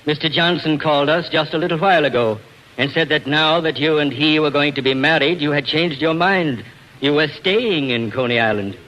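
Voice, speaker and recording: A fussy, deferential bank official with a sharp, fairly high voice. It is 1940s radio acting, with a faint hiss on the broadcast. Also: male voice, moderate pace, muffled recording. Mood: feigned dismay. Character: fussy, polite male bank man, slyly meddling.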